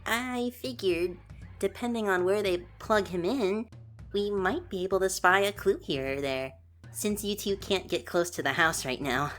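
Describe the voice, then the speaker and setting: A woman voicing a gentle, earnest young prince who explains things patiently and is eager to help, in an audio drama. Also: mid-range voice, a little slow, faint music behind, lightly acted. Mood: reassuring, explaining. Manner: soft-hearted, patiently explaining.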